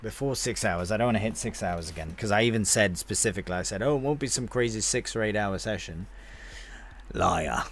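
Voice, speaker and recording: Chatty low-voiced man streaming a game. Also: fairly quick, fairly bright tone, a little hiss or hum. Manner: chatty and rambling, answering chat with friendly banter. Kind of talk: casual live-stream chat with viewers.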